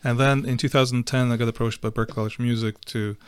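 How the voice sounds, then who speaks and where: A middle-aged man from Ukraine talks as an interview guest, stopping and restarting often with filler sounds. His pitch sits in the ordinary middle for a man. The recording is dry and close, with no room sound. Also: steady tone, smooth, no room sound. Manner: halting, many fillers and restarts. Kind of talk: casual interview.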